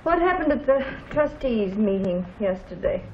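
A woman's voice: on the high side, animated, normal speed, natural voice. An actress playing a spirited, inquisitive woman in old Hollywood drama. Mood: teasing, curious. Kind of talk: old Hollywood film acting. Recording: muffled, noisy old film soundtrack.

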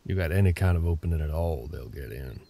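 A man playing a gruff but caring older cowboy ranch hand in an intimate audio roleplay, with a low gravelly voice and a terse, unhurried drawl. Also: animated, warm tone, heavily acted. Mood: instructing, steady. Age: middle-aged. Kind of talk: intimate ASMR roleplay acting.

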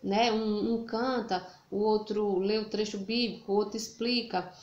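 A woman in her thirties giving casual devotional advice in a family vlog, earnest and warm, checking in with "right?" again and again. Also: mid-range voice, fairly even intonation, unhurried, dull audio. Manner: earnest, repeats "né?" and "tá bom?" often. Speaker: family vlogger. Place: small room indoors. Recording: echoey room, faint ambient noise.

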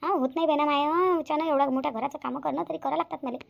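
A woman's voice: sits high, fairly even intonation, rapid-fire, gravelly. A woman voicing a chatty, complaining middle-aged village mother in a cartoon, with a Varhadi Marathi accent. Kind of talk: cartoon voice acting.

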